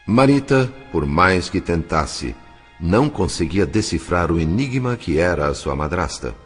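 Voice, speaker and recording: A man narrates a dramatic story from a script. His voice is very low, with a Brazilian accent, and music plays behind it. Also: sing-song, a little slow. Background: background music.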